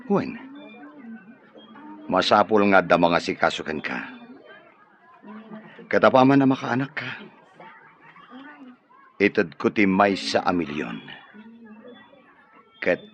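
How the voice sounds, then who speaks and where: Deep-voiced, insistent wealthy man. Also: lively intonation, slow, long pauses, a bit bright, soft background music, heavily acted. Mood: persuasive. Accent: Ilocano. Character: wealthy, insistent man offering money for a child. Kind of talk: Ilocano radio drama acting.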